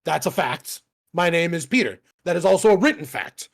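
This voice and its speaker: Animated, gravelly man in his thirties. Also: fairly high pitch, moderate pace. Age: 30s. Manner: rambling, profane, self-mocking, laughs often. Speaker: podcast co-host. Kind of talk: casual chat.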